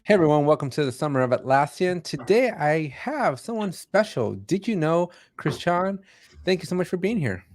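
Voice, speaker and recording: A man's voice: on the high side, big pitch swings, moderate pace, darkish tone, soft background music. Man hosting a casual chat. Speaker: host.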